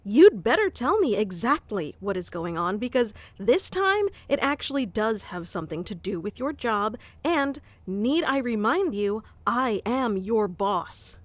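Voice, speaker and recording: A woman in an ASMR roleplay voicing a stubborn young woman boss, stern yet caring, speaking softly and intimately into a close microphone. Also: fairly high pitch, big pitch swings, unhurried, few pauses, no room sound. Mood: stern, probing.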